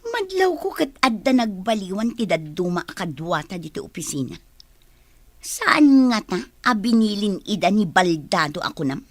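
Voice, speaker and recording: An irritable Ilocano Filipino office woman played by an actress in a radio drama. She sounds suspicious, with a lively, swinging mid-range voice recorded dry and close. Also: medium pitch, moderate pace, no room sound. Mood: suspicious, annoyed.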